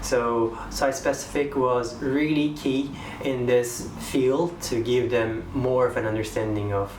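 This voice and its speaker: A man's voice: mid-range voice, fairly even intonation, a little slow, darkish tone. A man answering an interview question thoughtfully and hesitantly, repeating words as he searches for them. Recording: roomy sound, distant mic, faint ambient noise.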